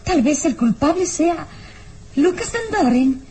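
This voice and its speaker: An anxious, high-voiced young noblewoman. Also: animated, measured pace, dark tone. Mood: troubled. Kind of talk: old radio serial acting.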